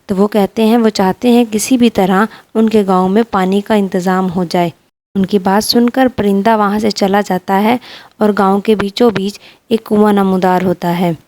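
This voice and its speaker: A woman's voice: mid-range voice, mellow. A woman narrating a scripted fairy tale for children as a storyteller.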